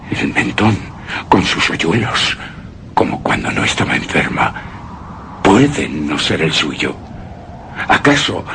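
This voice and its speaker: An actor playing a terrified, anguished widower in a gothic horror radio drama, speaking theatrically in halting, repeated phrases. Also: male voice, deep voice, slight background noise, full character voice. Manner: halting, theatrical, repeats words, breaks into hushed gasps. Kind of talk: Spanish-language horror radio drama monologue.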